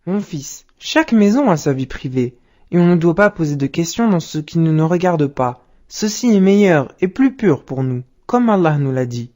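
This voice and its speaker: A woman voicing a patient, pious father in an educational cartoon, speaking in a measured, instructive way. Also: fairly low pitch, lively intonation, mellow, close to an everyday voice. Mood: calm, instructive. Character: calm, wise, pious father advising his young son. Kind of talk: didactic cartoon dialogue.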